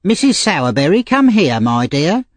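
A man's voice: a little high, big pitch swings, moderate pace, no room sound, pitched above the performer's own voice. A man voicing a tall, thin undertaker in an audiobook, civil, fussy and genteel. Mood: civil, curious. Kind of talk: audiobook character voice.

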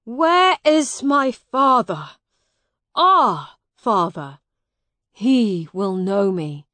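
A woman's voice: a little high, slow, no room sound. A woman voicing a long-lost brother in an audiobook, a pleading, indignant young man who speaks emphatically. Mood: shocked, insistent. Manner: emphatic, imploring. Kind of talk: graded audiobook character dialogue.

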